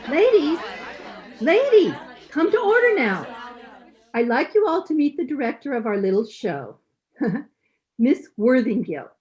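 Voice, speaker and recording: A woman's voice: high-pitched, big pitch swings, a little slow, pauses often, darkish tone. A woman playing a fluttery, officious society club chairwoman in an old-time radio comedy. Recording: dull audio with crowd murmur.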